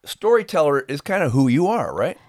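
A man's voice: on the high side, big pitch swings, fairly quick, dry recording, clean studio sound. A man co-hosting a podcast in a casual, conversational discussion style. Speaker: podcast co-host.

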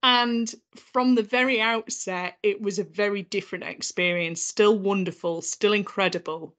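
A woman's voice: mid-range voice, a bit bright. A woman in her thirties with a Yorkshire accent, a podcast guest telling her own story with some repeated words. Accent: Northern English, Yorkshire. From North Yorkshire, England. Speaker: guest, accessibility consultant. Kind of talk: conversational podcast interview.

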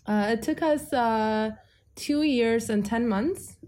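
A woman from Ethiopia, a guest on a podcast, answering calmly and matter-of-factly. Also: a little high, slow pace. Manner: calm, matter-of-fact, brief answers. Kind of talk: casual interview conversation.

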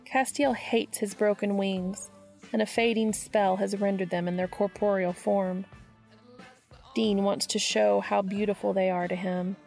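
A woman's voice: mid-range voice, little pitch movement, unhurried, creaky, darkish tone, soft background music. A woman hosting a podcast, reading scripted introductions and fan fiction narration aloud. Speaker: podcast host and reader.

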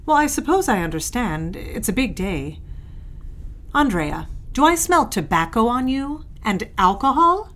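Scolding society mother, lively and high-voiced. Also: measured pace. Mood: critical, fussing. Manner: scolding, prying, indignant. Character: proud, critical, suspicious middle-aged society mother. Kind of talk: audio drama dialogue, period piece.

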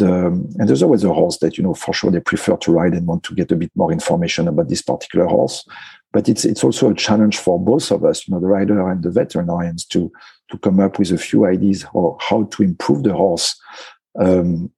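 A man's voice: sits low, creaky, mellow, slightly rough, no room sound. A man, a veterinarian, answering interview questions in careful English, thoughtful and full of fillers. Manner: thoughtful, non-native phrasing with frequent fillers. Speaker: equine veterinarian guest.

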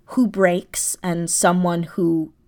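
A woman's voice: lowish voice, flat delivery, slow pace, darkish tone. A woman speaking as an interview guest, explaining her creative thinking in a casual, thoughtful way, searching for words as she goes. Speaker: guest, audio drama writer.

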